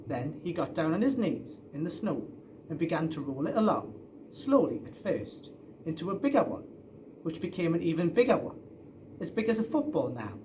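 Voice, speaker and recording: A man's voice: a little high, big pitch swings, moderate pace, darkish tone, slight background noise. A man reading a children's story aloud expressively, as a storyteller. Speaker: story reader.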